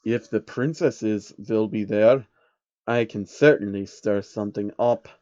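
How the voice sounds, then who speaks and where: Man voicing a slow, scheming old billionaire. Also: on the low side, warm tone, highs cut off, voice pushed down, close to an everyday voice. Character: scheming elderly male billionaire, smugly nonchalant.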